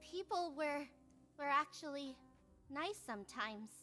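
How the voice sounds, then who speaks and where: A woman's voice: high voice, expressive, a little slow, bright, forceful tone, faint music behind, voice pushed up, exaggerated voice. A woman voicing a hot-tempered, self-pitying young magical girl from a visual novel, dramatic and exasperated. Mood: despairing. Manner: dramatic, sarcastic, exasperated outbursts. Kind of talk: visual novel character voice acting.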